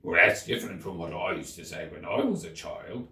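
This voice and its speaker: A man's voice: low voice, brisk, bright, forceful tone, exaggerated voice. A man voicing an impatient, bossy gryphon in a storybook reading, brusque and commanding. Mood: gruff, opinionated. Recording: echoey room, distant mic.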